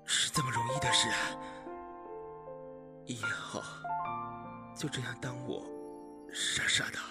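An actor playing a sharp-tongued, sardonic young male retainer in a Chinese period audio drama. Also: mid-range voice, fairly even intonation, a little slow, natural voice. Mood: bitter. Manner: mocking, sardonic. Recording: loud background music.

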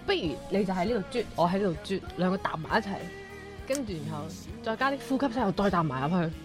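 A woman's voice: medium pitch, animated, fast, music in the background. A woman with a Cantonese accent talking casually during a studio recording session.

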